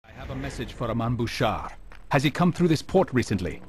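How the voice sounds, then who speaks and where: An actor playing a composed, righteous assassin in a video game, speaking in a stern, measured, direct way. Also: male voice, medium pitch, very animated, background noise, close to an everyday voice. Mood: businesslike.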